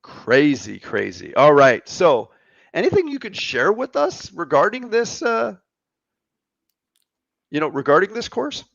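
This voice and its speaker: A man's voice: fairly high pitch, wildly swooping pitch, unhurried, vocal fry, long pauses, fairly bright tone, no echo. A man in his fifties hosting a live online show, chatty and joking with viewers. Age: 50s. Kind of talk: casual live-stream chat. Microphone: computer mic on a live video stream. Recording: dry, slightly dull stream audio.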